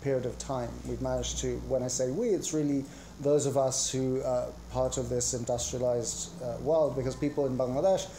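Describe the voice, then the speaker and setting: A man with an English accent gives an academic conference talk, speaking in a reflective, hesitant and halting way. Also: mid-range voice, fairly even intonation, dark tone, a little hiss or hum, poor audio. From England. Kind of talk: academic talk on religion, partly improvised. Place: indoor conference presentation.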